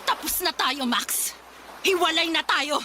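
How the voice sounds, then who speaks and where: A woman's voice: sits high, lively intonation, measured pace, background noise. A woman playing a fiery, confrontational betrayed girlfriend in a melodramatic radio drama, scolding shrilly and emphatically. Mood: hurt, furious. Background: background music.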